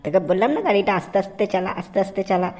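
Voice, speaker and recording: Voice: mid-range voice, fairly even intonation, very fast, very noisy, close to an everyday voice. An actor as a crude, grumbling cartoon troublemaker. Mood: scornful. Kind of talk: comic cartoon voice acting.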